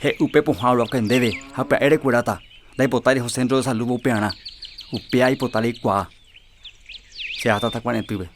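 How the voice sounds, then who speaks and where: A jealous, controlling young villager, voiced by an actor in a radio drama. He speaks with a reproachful, insistent edge at an ordinary pitch, over a faint hiss of noise. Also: moderate pace, lightly acted.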